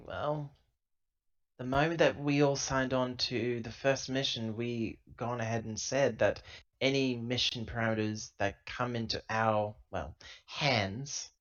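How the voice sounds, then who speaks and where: A man's voice: mid-range voice, fairly bright tone, close to an everyday voice. A man playing a female sci-fi crew member in a tabletop game, explaining terms hesitantly. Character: Drake, female crew member minding the contract. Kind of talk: improvised tabletop roleplay dialogue. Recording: dull lo-fi laptop recording.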